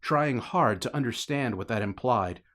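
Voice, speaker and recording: A man's voice: mid-range voice, expressive, normal speed, fairly bright tone. A man narrating fiction as a scripted, dramatic audiobook reading.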